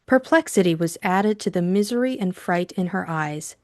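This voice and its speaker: A woman's voice: lowish voice, expressive, moderate pace, darkish tone, dry recording, clean studio sound. A woman reading a novel as an audiobook narrator, in scripted dramatic narration.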